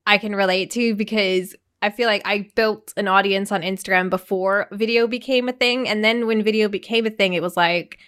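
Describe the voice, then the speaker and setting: A woman's voice: mid-range voice, fairly even intonation, brisk, hardly any pauses, a bit bright, clear, resonant tone. Podcast host, woman: enthusiastic, relatable interviewer, casual delivery. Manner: enthusiastic, relatable, asks open questions.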